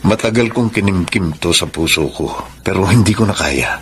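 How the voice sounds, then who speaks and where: An actor playing an earnest unfaithful husband in a Filipino radio drama, speaking as if confessing. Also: male voice, low-pitched, lively intonation, moderate pace, background noise. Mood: ardent, pleading. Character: earnest married man confessing forbidden love, unfaithful husband.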